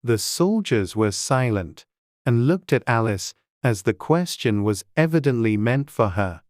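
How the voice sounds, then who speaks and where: A narrator reading a children's classic as a scripted audiobook, with a smooth, clear voice. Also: mid-range voice, lively intonation, a little slow, darkish tone, no room sound, clean studio sound.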